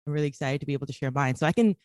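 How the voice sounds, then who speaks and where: A woman's voice: low voice, rapid-fire, darkish tone, no room sound, studio quality. A woman in her late twenties who grew up in Kuwait, speaking as a podcast guest in an earnest, casual way with many fillers. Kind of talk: casual interview talk.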